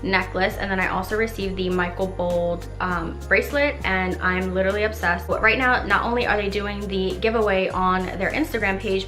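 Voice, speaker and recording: A woman's voice: mid-range voice, a bit bright, reverberant. A young woman chatting through a makeup tutorial, rambling and playful, a little congested and nasal. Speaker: beauty vlogger. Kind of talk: casual makeup tutorial chat.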